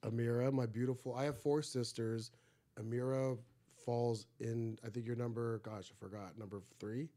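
A man's voice: medium pitch, steady tone, moderate pace, warm tone. A man hosting a casual podcast in a studio, chatting and joking in a loose, rambling way. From Egypt. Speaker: podcast host.

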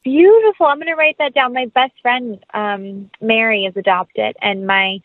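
A woman's voice: fairly high pitch, normal speed, no room sound. A woman speaking casually as an interview guest, earnest and upbeat, over a tinny phone-like connection. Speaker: interview guest, actress and producer.

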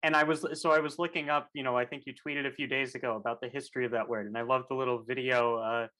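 A man's voice: mid-range voice, fairly even intonation, fast, pushed and bright, low-quality recording. A man with a mid-range voice hosts an interview. He asks long, winding questions and fills them with "you know" and "like". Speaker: interviewer, host. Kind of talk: casual interview conversation.

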